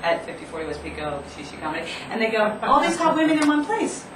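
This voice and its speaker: A young adult woman from Philadelphia, a comedian and comedy booker, chatting as a guest in quick, bubbly banter and laughing often. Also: medium pitch, sing-song, fairly bright tone. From Philadelphia, Pennsylvania. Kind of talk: casual comedic chat show banter. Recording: echoey room, far from mic, noisy.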